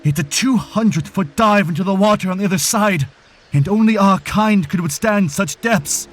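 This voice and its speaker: A man as an earnest, high-voiced fish-man prince. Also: moderate pace, soft background music. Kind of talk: cinematic audio drama acting.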